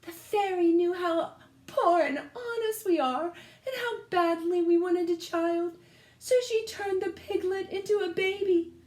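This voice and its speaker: Woman doing a high, earnest farmer's wife. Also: a little slow, darkish tone, echoey room. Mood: earnest wonder. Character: earnest, humble poor farmer's wife in a storybook.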